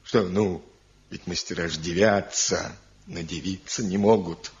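A deep-voiced older village father speaks slowly on a dull old radio drama recording. He is a hesitant, crafty worrier who grumbles as he wavers. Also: fairly even intonation. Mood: evasive, grumbling. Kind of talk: 1970 radio drama acting.

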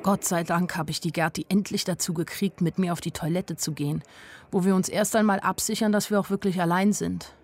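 A woman's voice: fairly low pitch, fairly even intonation, fairly quick, natural voice. An actress playing a naive but observant young woman who tells her own story in a wry, plain-spoken radio drama narration. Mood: confiding, wry.

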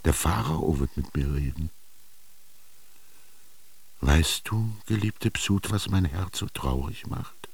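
An actor in a radio drama playing a melancholy, yearning ancient Egyptian man recalling his youth, speaking lyrically in a gravelly, husky voice with lingering pauses. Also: very deep voice, animated, full character voice. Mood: sorrowful, intense. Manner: lyrical, brooding, with rhetorical questions and lingering pauses. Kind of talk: literary radio drama monologue.

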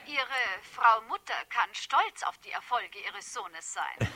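A woman's voice: on the high side, lively intonation, slow pace, sharp tone, faint music behind, heavily acted. An actress in a German radio drama playing an effusive, honeyed, slyly insinuating female admirer. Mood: gushing, sly.

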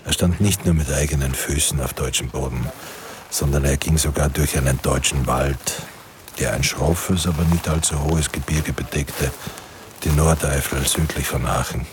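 A man's voice: rumbling low, steady tone, moderate pace, background noise. A man narrating a wartime radio drama in a grave, literary manner. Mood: grave, measured. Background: music.

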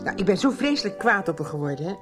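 Woman playing a fed-up stubborn mom in a radio soap, animated, music clearly behind her. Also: mid-range voice, racing, lightly acted. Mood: indignant. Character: indignant, stubborn mother complaining to her husband. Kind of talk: Dutch radio soap acting.

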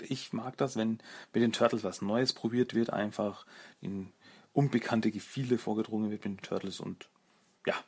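A man with a fairly low, husky voice delivers a casual solo review as the host of a fan show. He is self-deprecating and feels his way through his sentences, thinking aloud.

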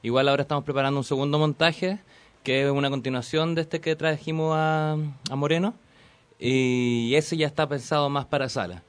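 A man's voice: mid-range voice, fairly even intonation, brisk, smooth. A man, a theater actor on a radio interview, explaining fluently and earnestly. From Chile.

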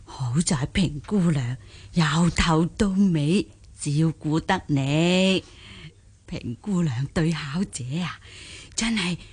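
An actress playing a humble, kindly old country granny in a Cantonese radio drama, folksy and deferential. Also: female voice, low-pitched, takes it slowly, a little mellow, slightly rough, exaggerated voice. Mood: humble, grateful.

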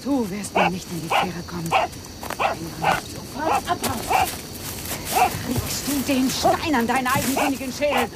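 An actress playing a determined, insistent woman with a sharp temper in a radio crime drama. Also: high voice, lively intonation, a little slow, loud music behind the voice. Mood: angry, threatening.

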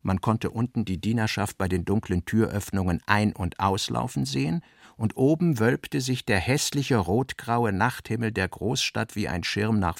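A male narrator reads scripted literary prose for a radio drama. His voice is on the low side with a gravelly creak, recorded very dry. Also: no room sound.

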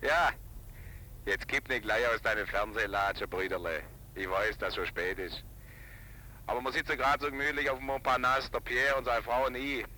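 A man's voice: mid-range voice, moderate pace. An actor playing a flippant, chatty brother on a late phone call in a radio drama, teasing as he talks. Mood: breezy, teasing. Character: breezy, teasing brother phoning late from abroad. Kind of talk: 1980s radio drama acting. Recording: sharp sound with faint hiss.

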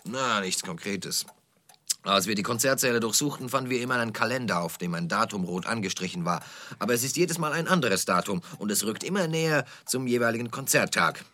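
A man's voice: on the low side, lively intonation, a little fast, bright, forceful tone, dry recording. An actor playing a brash, slangy concert promoter with worries about his business, in a comic radio play. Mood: worried. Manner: slangy, boastful, rambling.

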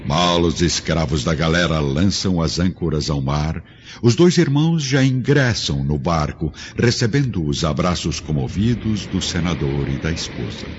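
Very deep, dramatic male narrator. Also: lively intonation, moderate pace, slight background noise. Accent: Brazilian. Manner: solemn, literary, theatrical. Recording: dull lo-fi radio production, music underneath.

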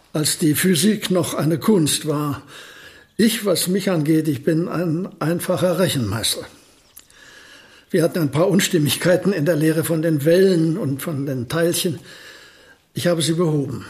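An elderly physicist of genius in a radio play, his voice notably high for a man. He speaks in precise, politely formal phrases, modest on the surface and quietly stubborn underneath. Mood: courteous, modest. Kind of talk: German radio play acting.

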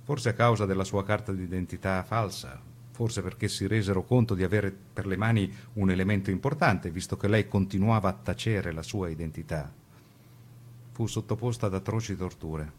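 A man narrating a scripted radio documentary drama in a grave, deliberate way with theatrical phrasing. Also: sits low, slight background noise.

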